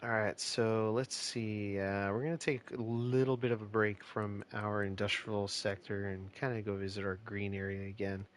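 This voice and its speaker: A man's voice: lowish voice, normal speed, hardly any pauses, a little hiss or hum. A man streaming a city-building game, chatting casually with lots of fillers and restarts, his voice a bit nasal and stuffed-up. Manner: relaxed, rambling, many fillers and restarts. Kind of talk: casual live-stream commentary.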